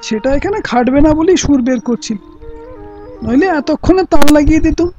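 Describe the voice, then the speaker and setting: An actor playing a witty, reluctant wandering singer in an audio play, talking in a wry, wordy manner. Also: male voice, very high voice, lively intonation, brisk, dark tone, faint music behind. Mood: wry, protesting. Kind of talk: theatrical radio-play acting. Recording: muffled lo-fi audio-play recording.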